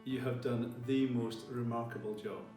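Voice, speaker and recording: A man giving a formal ceremonial address as a college leader, with measured, deliberate phrasing. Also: mid-range voice, steady tone, mellow. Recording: roomy, distant mic, faint music behind.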